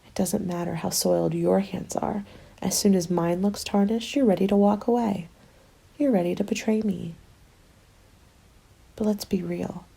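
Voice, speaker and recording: A woman playing a cynical, scheming apocalypse survivor in an ASMR roleplay, breathy and often whispering, taunting and self-justifying. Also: medium pitch, steady tone, normal speed, pauses often, warm tone. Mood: defensive, accusing. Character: cynical, defiant woman survivor hoarding food, selfishly scheming.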